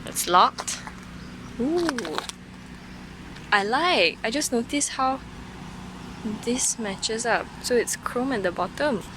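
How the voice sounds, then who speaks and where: A young woman giving a casual car review, chatty and excitable, with bursts of delight. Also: a little high, slow, pauses often, background noise. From Malaysia. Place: in and around a car.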